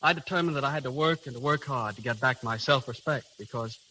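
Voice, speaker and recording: A man's voice: medium pitch, quick pace, pushed and bright, lightly acted. A man acting an earnest, polite young waiter in a 1930s radio drama. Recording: hissy, crackling 1930s radio recording.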